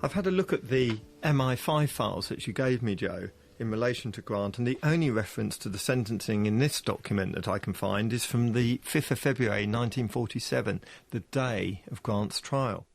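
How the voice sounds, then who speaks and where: A man's voice: mid-range voice, brisk, gravelly, hardly any pauses. A man explains things as an expert interviewee, in a considered, formal and precise manner.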